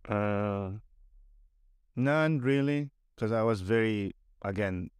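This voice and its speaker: A man's voice: lowish voice, takes it slowly, smooth, no room sound, clean studio sound. A young Filipino man with a Filipino accent, talking bluntly and animatedly on a casual podcast, swearing and mocking other people in put-on voices. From Philippines. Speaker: podcast guest.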